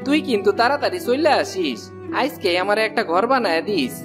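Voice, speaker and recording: A man's voice: high-pitched, very animated, fairly quick, over music. A man playing a friendly, brotherly village neighbour in a cartoon, folksy and familiar. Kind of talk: cartoon dialogue acting.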